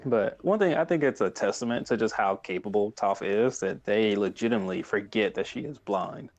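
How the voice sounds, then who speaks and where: A man in his thirties with a low voice and a noticeable creak at the edges. He chats casually as a podcast co-host, rambling excitedly through retellings and slipping into quoted dialogue. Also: a little fast. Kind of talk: casual chat.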